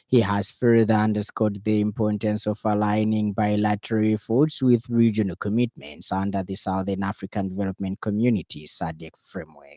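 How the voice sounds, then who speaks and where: Male radio news reader, Zambian accent, low mellow voice, dry studio sound, formal bulletin script. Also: level tone, moderate pace, few pauses, no echo.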